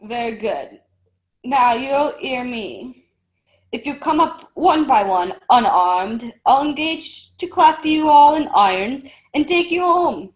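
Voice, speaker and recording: Defiant ship's captain for radio play, woman in the male role: commanding, formal, unhurried, animated pitch. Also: poor audio.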